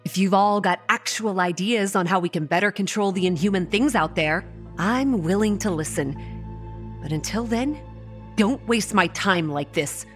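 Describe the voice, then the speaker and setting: An actress playing a proud, combative young woman who narrates her own horror audio drama in a stern, measured way. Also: mid-range voice, soft background music, close to an everyday voice. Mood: defiant. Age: young adult. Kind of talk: first-person dramatic narration with dialogue.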